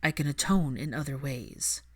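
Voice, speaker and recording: A woman's voice: sits low, moderate pace, voice pushed down. A woman voicing a weary, guarded man in an audiobook, curt and subdued, with dry sarcasm. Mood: guarded, resistant.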